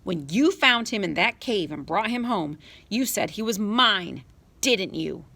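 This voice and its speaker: A woman voicing an angry, stubborn young boy who yells in a shrill voice. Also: lively intonation, unhurried, creaky, full character voice. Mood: defiant. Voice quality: shrill yelling.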